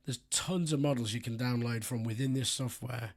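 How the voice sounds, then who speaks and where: A man with a sore, hoarse throat and a raspy voice, talking through a software walkthrough in a relaxed, rambling way with frequent ums. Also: medium pitch, moderate pace. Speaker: software tutorial host. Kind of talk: screen-recorded software walkthrough.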